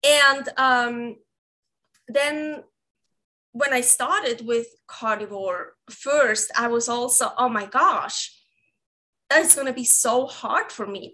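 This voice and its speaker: Bright high-voiced Swiss woman. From Switzerland. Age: 30s. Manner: rambling, self-correcting, laughs often. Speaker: guest, recipe developer. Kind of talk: casual interview conversation.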